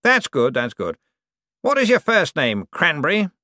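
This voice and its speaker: A man voicing a vain, scheming rich young man who talks in a smooth, self-satisfied, dreamy way. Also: a little high, huge pitch swings, unhurried, sharp tone, no room sound, clean studio sound, voice pushed up, heavily acted.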